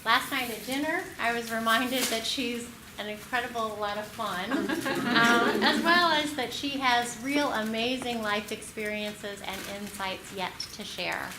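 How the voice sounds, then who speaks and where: A woman's voice: on the high side, a little slow. A woman introducing a keynote speaker at a conference, admiring and with frequent filler words. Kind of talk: formal introduction. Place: conference room. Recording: roomy hall with clear noise.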